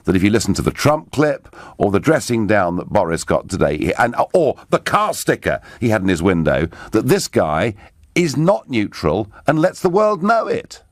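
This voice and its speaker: A man's voice: lowish voice, big pitch swings, moderate pace, no room sound, clean studio sound. A man hosting a radio phone-in, pressing callers on their views with a teasing, assertive manner. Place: radio studio.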